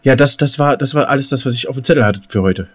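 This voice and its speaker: A man's voice: mid-range voice, fast talker. A man co-hosting a podcast in casual, colloquial banter, silly and given to mock grumbling. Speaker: podcast co-host.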